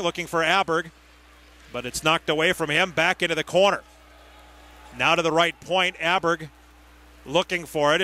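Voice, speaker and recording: Man, high voice, bright and forceful, live hockey radio commentary, clipped phrasing, crisp names. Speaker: radio play-by-play hockey announcer. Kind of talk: live sports play-by-play commentary.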